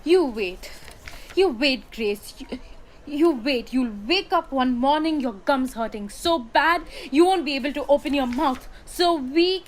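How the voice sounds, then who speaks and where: A young woman acting an anguished, stubborn young factory worker in a high school radio drama. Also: measured pace, a little hiss or hum. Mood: bitter, warning.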